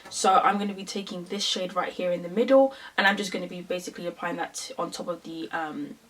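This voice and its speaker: A woman's voice: lowish voice, a little fast, fairly bright tone, recorded from a distance, slight background noise. A woman in her twenties from London with a London accent, chatting casually through a makeup tutorial with lots of fillers. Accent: London English. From England. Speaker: beauty vlogger.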